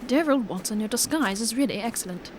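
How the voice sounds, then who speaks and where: A woman acting a shrewd male detective in an amateur audio drama, brisk and genteel with old-fashioned phrasing. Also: fairly high pitch, lively intonation, natural voice. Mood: assured, wry. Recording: clearly noisy recording.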